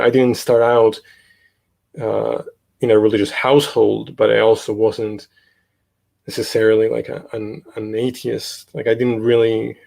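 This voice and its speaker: A young man from Italy, talking casually as an interview guest, halting with many fillers and restarts. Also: on the low side, creaky, a little mellow. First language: Italian. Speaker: guest, podcast host.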